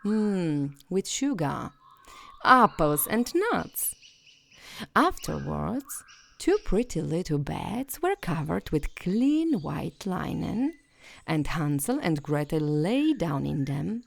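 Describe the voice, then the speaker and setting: A woman narrating a bedtime fairy tale as a storyteller, in a gentle, soothing and expressive way. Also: fairly low pitch, vocal fry, dry recording.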